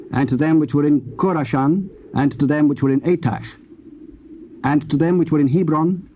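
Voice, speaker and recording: A man narrating scripture in a solemn, measured and formal manner. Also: a little high, dark tone. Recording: dull, hissy old cassette tape.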